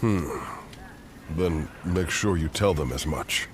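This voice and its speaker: A man playing a gruff, steadfast veteran general in a video game, speaking curtly and plainly. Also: sits low, slow, a little hiss or hum. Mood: matter-of-fact. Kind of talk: video game cutscene acting.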